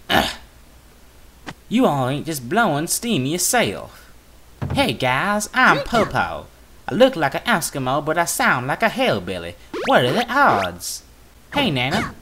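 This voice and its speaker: A woman's voice: low-pitched, background noise, full character voice. A woman voicing a scrappy, folksy Eskimo mountain climber from a game with an American hillbilly accent. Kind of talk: cartoonish game character acting.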